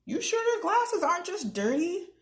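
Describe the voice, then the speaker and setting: A woman's voice: extremely high pitch, big pitch swings, moderate pace, a bit bright, echoey room, pitched above the performer's own voice, full character voice. A woman voicing a sassy, skeptical girl in a storybook, with a teasing manner.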